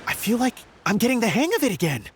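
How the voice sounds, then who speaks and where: High, timid fantasy creature. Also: male voice, very animated, fast talker. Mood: grateful. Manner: hesitant, polite. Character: timid, earnest, grateful male fantasy creature singer. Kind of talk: fantasy game character acting.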